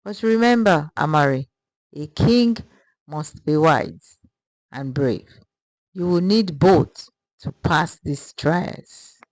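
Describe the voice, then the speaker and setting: A woman voicing a wise village elder woman, solemn and gentle, with a measured, soft but firm delivery in a folktale. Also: deep voice, no echo, poor audio. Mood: encouraging.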